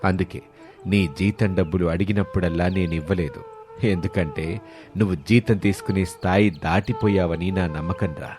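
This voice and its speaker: Man playing a low-voiced, fatherly village landlord. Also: steady tone, normal speed, music in the background, close to an everyday voice. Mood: earnest, affectionate. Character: kindly, fatherly older male village landlord. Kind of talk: animated moral story voice acting.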